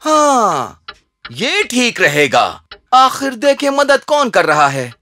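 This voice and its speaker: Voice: mid-range voice, very animated, over music, lightly acted. An actor playing a humble, grateful, good-hearted middle-aged shoemaker man in a children's cartoon. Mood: agreeable, thoughtful.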